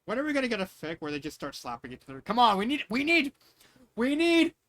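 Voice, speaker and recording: A man's voice: very high-pitched, wildly swooping pitch, fast talker, a bit bright. A man reading a story aloud in a loose, jokey way, often breaking off into casual asides. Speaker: fanfiction reader.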